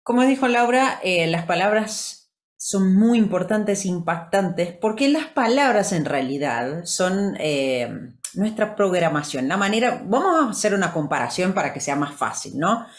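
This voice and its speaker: A woman's voice: medium pitch, big pitch swings, measured pace. A woman from Brazil, a native Portuguese speaker, co-hosting a podcast and explaining things conversationally and didactically, with rhetorical questions. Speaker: podcast co-host. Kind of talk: conversational explanation with simple comparisons.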